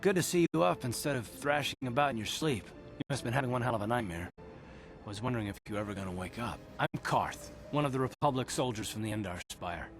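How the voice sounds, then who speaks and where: A man's voice: mid-range voice, animated, quick pace, soft background music, natural voice. An actor playing a resolute, weary male Republic soldier, speaking earnestly in a dramatic video game style, explaining with some urgency. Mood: calm, reassuring.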